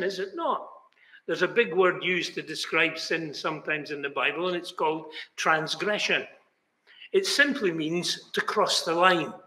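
Bright-voiced older man preaching. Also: sits high. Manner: earnest, emphatic, gestures with both hands. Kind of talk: sermon. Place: small chapel, indoors, banner behind. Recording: roomy chapel echo.

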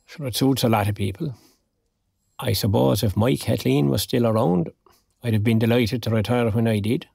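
Creaky-voiced older Irish retired man. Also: medium pitch, normal speed, warm tone, no echo. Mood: wistful, resigned. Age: 60s. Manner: dry, wistful, understated. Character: lonely, wry, recently retired older man. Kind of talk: naturalistic audio drama acting.

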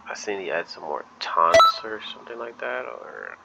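A young man with a low, creaky voice comments casually on a video game. His pitch moves around a lot as he makes informal, off-the-cuff remarks. It is a noisy home recording. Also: expressive, normal speed. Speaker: gaming YouTuber.

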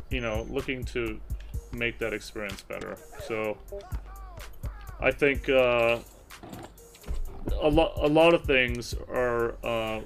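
A man casually answering livestream questions as a company representative, in a measured, hesitant, noncommittal way. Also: mid-range voice. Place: indoors at a desk, streaming webcam. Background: music.

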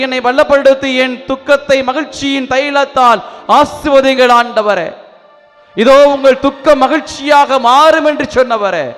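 A man leading a spoken prayer as a priest, pleading fervently, swinging between loud appeals and hushed sorrowful passages. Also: extremely high pitch. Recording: long reverb with faint music behind.

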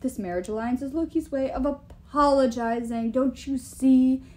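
Woman putting on a loud, pompous giant king. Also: high-pitched, normal speed, lightly acted. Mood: grandiose. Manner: emphatic, pompous declarations. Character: huge boastful male Giant King, gullible and menacing. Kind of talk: audiobook character voice.

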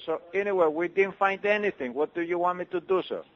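Slightly high-voiced man on the phone. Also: fairly quick. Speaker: pizza restaurant worker taking a call. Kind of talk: phone conversation. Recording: dull lo-fi phone line.

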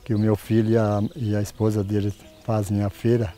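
A man in his sixties, a farmer, answering interview questions outdoors in a plain, halting way. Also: lowish voice, monotone, dark tone, faint music behind. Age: 60s. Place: outdoors on a farm.

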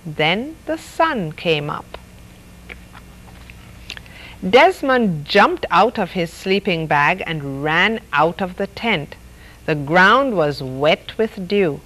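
A woman's voice: fairly low pitch, slow pace, poor audio. The woman at a children's story hour, in a chair among stuffed toys, taking her time over each page and lifting and dropping her voice expressively for the listeners. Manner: expressive, acts out characters' lines. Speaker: story hour host reading aloud. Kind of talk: children's storybook read-aloud. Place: studio set dressed as a reading nook with stuffed toys.

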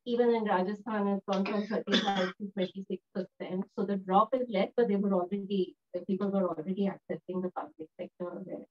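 Woman, panel talk, mid pitch, thoughtful pauses, muffled room recording. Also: steady tone. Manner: thoughtful, measured, with frequent fillers. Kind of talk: panel discussion remarks. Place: lecture room.

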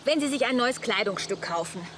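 An actress playing a chatty, bossy middle-aged camper wife in a radio comedy, brisk and teasing. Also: female voice, on the high side, lively intonation, noisy background, close to an everyday voice. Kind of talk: radio comedy drama acting.

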